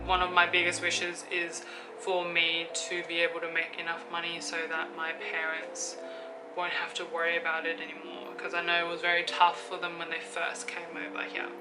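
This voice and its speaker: A woman's voice: on the low side, completely flat delivery, moderate pace, low-quality recording. A woman, chatting casually through a Q&A vlog and thinking aloud with fillers. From Australia. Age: 20s. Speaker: YouTuber. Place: room with an open window. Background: background music.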